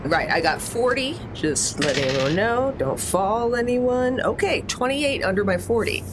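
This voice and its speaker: Tabletop player, androgynous medium-pitched voice, casual and a little tentative. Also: expressive, normal speed, background noise. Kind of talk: casual in-game chat.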